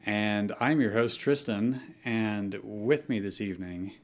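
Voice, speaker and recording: A man's voice: fairly low pitch, slow. A man hosting a casual comedy reading show, introducing and bantering with a group. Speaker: host of a comedy fan fiction reading show.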